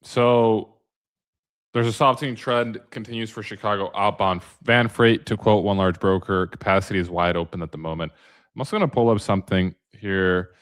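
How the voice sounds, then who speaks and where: A man's voice: fairly low pitch, moderate pace, smooth, dry recording. A man hosting a casual podcast chat, easygoing, reading posts aloud to his co-host. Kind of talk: casual freight market chat.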